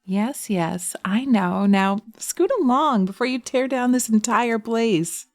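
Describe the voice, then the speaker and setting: A woman voicing a poised, nurturing, gently bossy witch in an audio roleplay, with a genteel, coaxing and chiding manner. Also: fairly high pitch, slow, dry recording.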